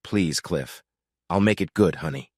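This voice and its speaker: A man's voice: low voice, unhurried, a little mellow, husky, no echo, clean studio sound, pitched below the performer's own voice. Man voicing a sweetly coaxing middle-aged wife. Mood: coaxing, soft. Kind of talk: audio story character acting.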